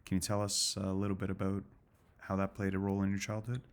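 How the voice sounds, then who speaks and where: A man's voice: low-pitched, monotone, fast. A man hosting a talk show, reading a scripted introduction and then chatting earnestly with a guest. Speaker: podcast host.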